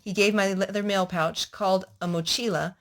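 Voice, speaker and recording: A woman's voice: mid-range voice, steady tone, moderate pace. A woman hosting a children's storytime, reading picture books aloud and asking little prompting questions in a child-directed way.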